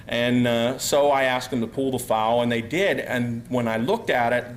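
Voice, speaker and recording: A man from Pennsylvania giving a talk to a live audience, loud and rambling, full of fillers and restarts. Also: medium pitch, slight background noise. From Pennsylvania, USA. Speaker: guest speaker.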